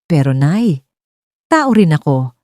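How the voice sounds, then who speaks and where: A woman's voice: deep voice, very animated, takes it slowly, heavily acted. A woman voicing a long-suffering widowed daughter-in-law, earnest, respectful and emotional, pleading yet resolute. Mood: hurt, resolute.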